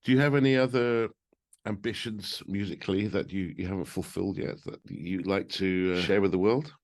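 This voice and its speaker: A man's voice: sits low, steady tone, fairly quick. A man hosting an interview, friendly, asking short questions and laughing often. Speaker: interview host. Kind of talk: casual interview.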